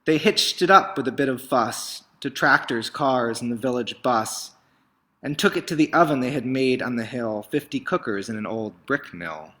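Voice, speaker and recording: Bright-voiced man reading a children's story expressively. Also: mid-range voice, expressive, normal speed. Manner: theatrical, emphatic delivery with dramatic swells and hushes. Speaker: picture book read-aloud host.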